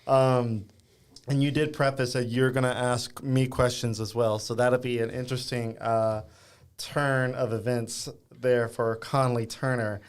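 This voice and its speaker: Male podcast host, mid-pitched, casual and hesitant, frequent filler words. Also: level tone, measured pace, smooth. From Louisiana. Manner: easygoing, hesitant, many ums and uhs. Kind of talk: casual interview chat.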